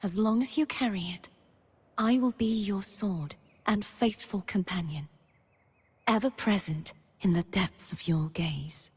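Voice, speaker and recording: A young woman playing a gentle, earnest, wistful maiden heroine in scripted video game lines, soft-spoken and breathy. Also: medium pitch, unhurried. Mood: solemn, devoted. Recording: long reverb on the voice.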